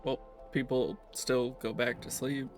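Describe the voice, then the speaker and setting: A man with a gravelly voice casually narrating a tabletop game as game master. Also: medium pitch, fairly even intonation, unhurried, faint music behind.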